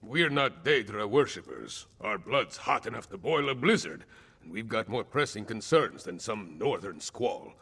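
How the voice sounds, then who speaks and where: An actor playing a proud, weary, aging northern jarl in a video game, blunt and sardonic, with a gritty voice. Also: male voice, fairly low pitch, animated, normal speed, vocal fry, fairly bright tone, breathy edge, in a large hall, heavily acted. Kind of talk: video game character acting.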